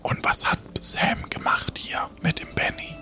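A man voicing a blunt crew member in a breathy, muttering whisper during an improvised role-play comedy. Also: unhurried, sharp tone. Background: faint music.

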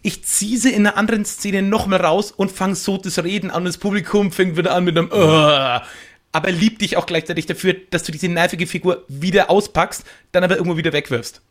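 A man's voice: sits high, fairly even intonation, fairly quick, a bit bright. A man chatting on a podcast as a guest, explaining things reflectively in loose, winding sentences. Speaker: podcast guest, improv stage performer. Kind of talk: casual podcast conversation.

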